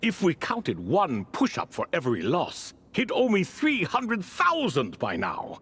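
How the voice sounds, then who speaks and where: An actor playing a proud, wistful and wryly smug man in a video game, speaking in an unhurried, theatrical way. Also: high voice, wildly swooping pitch, a bit bright, a little hiss or hum, heavily acted. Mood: amused boasting. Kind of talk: dramatic video game voice acting. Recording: music under the voice.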